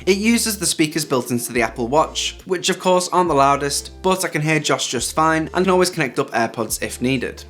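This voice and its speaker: A man's voice: on the high side, lively intonation, fairly quick, few pauses, a bit bright. A man hosting a tech video, narrating a scripted hands-on review. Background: background music.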